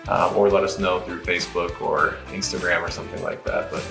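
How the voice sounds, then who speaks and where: Deep-voiced man giving a casual tutorial. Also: level tone, a little fast, gravelly, echoey room, over music. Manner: informal, unscripted, explaining as he goes. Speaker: product tutorial presenter. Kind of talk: casual step-by-step app walkthrough.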